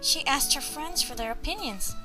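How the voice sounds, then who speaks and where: A woman's voice: sits high, animated, moderate pace. A woman reading a children's story aloud as scripted narration. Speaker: storybook reader. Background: background music.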